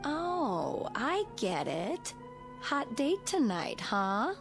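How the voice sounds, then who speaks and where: A woman's voice: on the high side, huge pitch swings, gravelly, heavily acted. Breezy, teasing woman colleague over music. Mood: wry, coaxing. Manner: casual, brisk, wry. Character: breezy, pushy, teasing woman teacher and friend. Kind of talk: radio drama acting.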